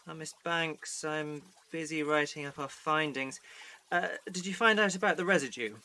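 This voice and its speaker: A man's voice: a little high, moderate pace, sharp tone. A man playing a stern, perfectionist science professor in an audio drama. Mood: businesslike. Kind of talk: fan audio drama acting.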